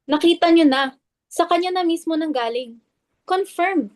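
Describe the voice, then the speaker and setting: A woman's voice: very high voice, normal speed. A woman acting a blunt, suspicious, confrontational club member in a dramatic reading, insistent and accusing. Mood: accusing, firm.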